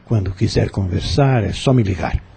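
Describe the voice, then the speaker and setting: A Brazilian man playing a calm, caring confidant who gently counsels in an audio drama. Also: lowish voice, normal speed, vocal fry, breathy edge, heavily acted. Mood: gentle, admonishing.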